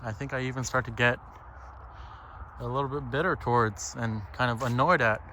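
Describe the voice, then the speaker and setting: A man thinking aloud in a halting, casual, reflective monologue while walking outside on a trail. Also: mid-range voice, fairly even intonation, sharp tone. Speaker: solo vlogger. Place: outdoors, walking on a trail by a lake. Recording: outdoor ambient noise.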